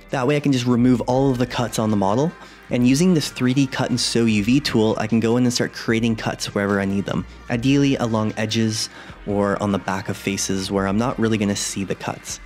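A young adult man narrating a software tutorial in a relaxed, conversational way. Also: mid-range voice. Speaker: 3D artist tutorial host.

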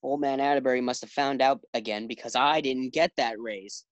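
A man's voice: mid-range voice, fairly even intonation, normal speed, dry recording, lo-fi sound, lightly acted. A man acting a sensible, exasperated husband in a 1940s-style radio sitcom.

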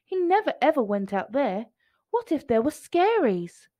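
A woman's voice: high-pitched, very animated, measured pace, dark tone, no echo, clean studio sound. A woman reading a children's picture book aloud in a storytelling style.

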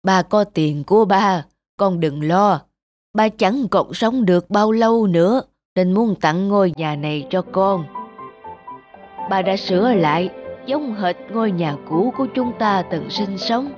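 A woman voicing a frail, gentle old father who speaks slowly and wistfully, in a cartoon. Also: mid-range voice, lightly acted. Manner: slow, soft, wistful. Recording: music bed under voice.

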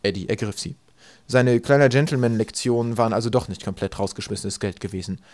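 A man narrating a comic children's story from a script, with dry, deadpan storytelling. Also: on the low side, fast talker, dry recording. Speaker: audiobook narrator.

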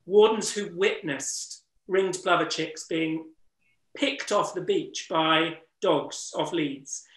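Bright-voiced Englishman, strained, roomy echo. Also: high voice. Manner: eloquent, earnest, reflective. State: voice strained from disuse. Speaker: interviewer and wildlife trust ambassador. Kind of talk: webinar conversation. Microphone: video call.

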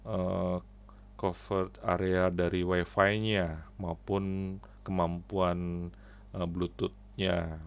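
A middle-aged man giving a casual, halting, step-by-step app walkthrough into a headset mic. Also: low voice, vocal fry. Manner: halting, step-by-step explaining with frequent "ya" tags. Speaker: app reviewer and tutorial presenter. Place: indoors at a computer, keyboard clicks. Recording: slightly dull, lo-fi audio.

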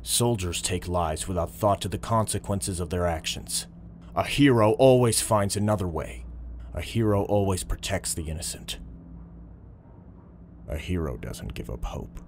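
A man plays an earnest police officer in an audio drama. His low voice stays measured as he reasons and pleads, with clear ambient noise behind him. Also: expressive. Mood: firm conviction. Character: calm, earnest male police officer negotiating.

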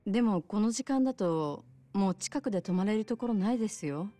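An actress playing a kind, soft-spoken bar owner with a polite, considerate manner in a video game cutscene. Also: female voice, medium pitch, lively intonation, fairly quick, soft background music, natural voice. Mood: gentle, apologetic. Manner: polite, considerate, gently casual.

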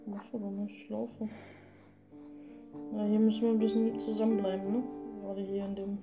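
A woman's voice: medium pitch, level tone, moderate pace. A woman chatting casually as a let's player while she plays a game. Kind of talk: casual gameplay chat. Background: music.

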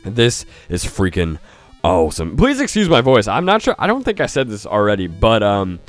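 A young man with a cold and a stuffy voice, giving excited let's-play commentary on a game and shouting at it now and then. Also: lowish voice, normal speed, no echo, faint music behind. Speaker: gaming YouTuber.

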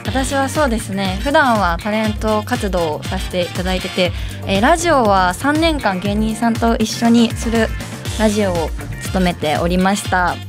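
A woman's voice: mid-range voice, lively intonation, normal speed, over music. A woman presenting politely and formally as the assistant on a radio show.

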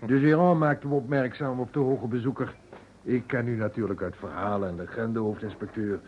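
An actor playing a formal, hospitable sculptor in a vintage Dutch radio play, with measured speech. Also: male voice, mid-range voice, fairly even intonation, close to an everyday voice. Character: formal, hospitable Russian sculptor hosting a weekend. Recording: dull, noisy old radio recording.

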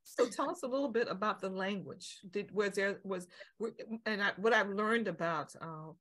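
A woman hosting a talk show and interviewing a guest, with playful, animated interjections. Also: mid-range voice, normal speed.

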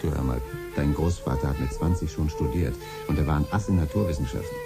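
Deep-voiced, grumbling, frustrated father. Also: quick pace, loud music behind the voice. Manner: grumbling, insistent. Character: frustrated, pushy middle-aged father of a grown son. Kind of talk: 1970s German radio play acting.

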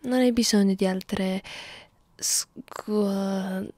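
A woman voicing a hungry, clingy vampire girlfriend in an intimate ASMR roleplay, murmuring softly and slipping into breathy whispers. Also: medium pitch, steady tone, no room sound. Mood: pouting, defensive. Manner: coaxing, halting, close-up murmuring with small hums. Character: hungry immortal female vampire girlfriend, clingy, teasing, predatory.

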